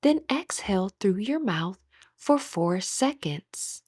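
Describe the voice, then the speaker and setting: A woman with a breathy voice gently leading a guided meditation as an instructor, in measured, scripted phrases. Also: medium pitch, big pitch swings, dry recording, clean studio sound.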